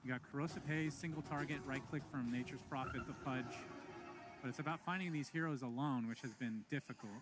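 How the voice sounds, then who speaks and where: A man giving play-by-play esports commentary, bantering and laughing with his co-caster. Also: medium pitch. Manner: quick banter, laughs often. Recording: commentary over loud game music.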